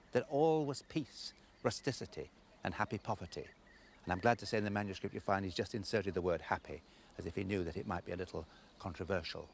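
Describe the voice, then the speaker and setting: Emphatic man presenting a literary documentary. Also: on the low side. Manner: emphatic, discursive, breaks phrases for weight. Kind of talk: explanatory literary commentary.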